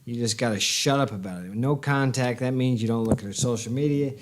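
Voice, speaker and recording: A middle-aged man gives blunt, folksy advice in a teasing, plain-spoken manner. His voice is wearing thin and hoarse as he talks. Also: mid-range voice, a little fast. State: losing his voice. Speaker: host giving relationship advice. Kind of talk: casual advice chat.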